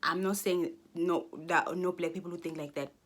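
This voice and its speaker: A woman's voice: on the low side, fairly even intonation, normal speed. A Xhosa-speaking South African woman in her twenties, talking to camera in a rambling, earnest monologue full of restarts. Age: 20s. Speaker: vlogger.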